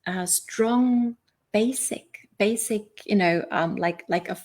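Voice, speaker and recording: A woman's voice: mid-range voice, slow, a little mellow. Woman giving a motivational talk. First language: German. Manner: conversational, seeks agreement with rhetorical questions. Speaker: motivational coach and video host.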